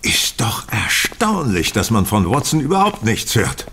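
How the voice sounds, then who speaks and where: A man playing a sly, inquisitive gentleman detective in a German radio play, with a wry, probing manner. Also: fairly low pitch, wildly swooping pitch, unhurried, a little hiss or hum, exaggerated voice.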